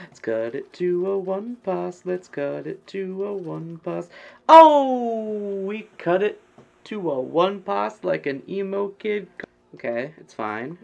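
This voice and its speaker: Slow, creaky young man streaming games. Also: sits high, a little mellow. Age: young adult. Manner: repeats phrases over and over. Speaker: game streamer. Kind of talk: casual joking gameplay commentary. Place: bedroom, indoors. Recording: dull, lo-fi webcam stream audio.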